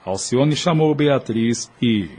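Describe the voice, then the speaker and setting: A man with a mid-pitched, somewhat husky voice narrates a period audio drama. His delivery is solemn, literary and formal. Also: expressive, slow pace, darkish tone.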